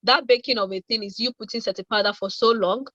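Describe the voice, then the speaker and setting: A woman's voice: a little high, fast talker, a bit bright, no echo. A woman giving informal spoken advice as a guest expert on an online video call. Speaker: guest make-up adviser. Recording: dry, dull lo-fi call audio.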